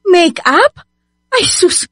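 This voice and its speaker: A scolding Filipino mother in a radio drama. Her voice is high and swoops widely as she belittles and demands, dismissive and sarcastic. The sound is dry and close. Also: very animated, extremely slow, a bit bright, dry recording, exaggerated voice. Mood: scornful. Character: nagging, greedy, belittling mother of a working daughter. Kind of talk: radio drama acting in Tagalog.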